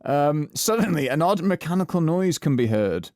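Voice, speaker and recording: Animated male narrator of a comic drama. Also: on the high side, moderate pace, no echo.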